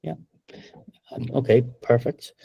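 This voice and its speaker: A middle-aged Irish man giving a business webinar presentation over a video call, upbeat and steady, with frequent ums. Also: takes it slowly, dry recording, dull audio. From Ireland. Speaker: webinar presenter, company co-founder.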